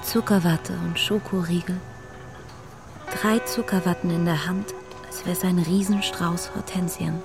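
A woman's voice: fairly low pitch, fairly even intonation, a little slow. An actress playing an anxious, tender working mother in a radio drama, hesitant and wistful, with music underneath.

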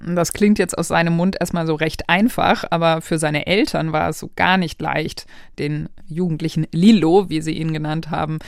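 A woman's voice: low-pitched, fairly even intonation, normal speed, vocal fry, hardly any pauses, no room sound. A woman co-hosting a history podcast, explaining in a conversational narrated way. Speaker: podcast co-host. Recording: dry studio sound with faint music.

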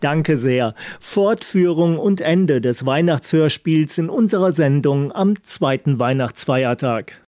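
A man presents a radio show from a script. His voice sits fairly high with a mellow tone, and the recording is very dry and close. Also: expressive, slow, few pauses, no echo.

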